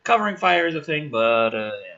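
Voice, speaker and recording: Forceful man with wildly animated delivery. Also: fairly high pitch, fairly quick, lo-fi sound. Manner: theatrical, exasperated, foul-mouthed. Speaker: host of a gaming and film channel. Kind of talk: sarcastic dramatic script reading with ranting commentary.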